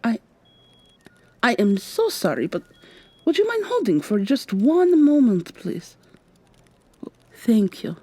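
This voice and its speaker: A woman's voice: a little high, wildly swooping pitch, slow pace, long pauses, breathy edge, exaggerated voice. A woman playing a weary, sardonic vampire receptionist with a secret, acting in a scripted ASMR roleplay. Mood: courteous, soothing. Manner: polite customer-service patter, hushed urgent asides.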